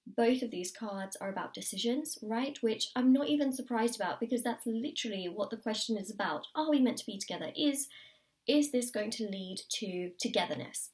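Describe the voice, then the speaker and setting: Slightly croaky woman reading tarot. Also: fairly high pitch, a little mellow, recorded from a distance. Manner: encouraging, repeats phrases, addresses viewer directly. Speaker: tarot reader. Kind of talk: pick-a-card tarot reading to camera. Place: indoors at a table.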